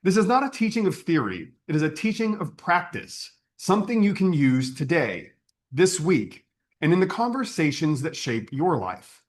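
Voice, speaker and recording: Male spiritual service leader, slightly high pitch, highly varied melody, earnest and measured scripted address. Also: big pitch swings.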